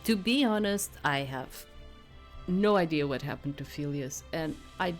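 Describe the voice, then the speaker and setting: A woman's voice: sits low, lively intonation, normal speed, soft background music. A woman giving casual, rambling commentary as she plays a game, hesitant and often trailing off. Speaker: let's play host. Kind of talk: casual gameplay commentary with read-aloud dialogue.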